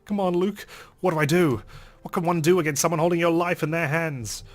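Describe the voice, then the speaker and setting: A man playing and reading a visual novel aloud in a dramatic, expressive way as a let's player. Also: on the high side, brisk. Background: game music.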